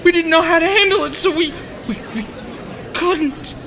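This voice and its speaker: A man's voice: extremely high pitch, normal speed, bright, forceful tone, a faint crowd, a little hiss or hum, exaggerated voice. A man playing a panicky, callous shelter schemer with a whiny, excuse-making manner in a comedic audio drama.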